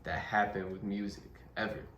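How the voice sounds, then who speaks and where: A man's voice: deep voice, steady tone, normal speed, background noise. A man hosting an interview, asking questions and summing up the guest's answers back to him. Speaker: interviewer host. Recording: roomy, distant mic with faint ambience.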